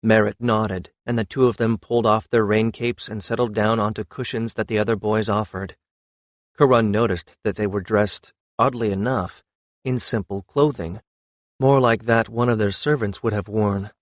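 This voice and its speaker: A man's voice: lowish voice, steady tone, normal speed, no room sound. A man reading a fantasy story as an audiobook narrator, in scripted narration.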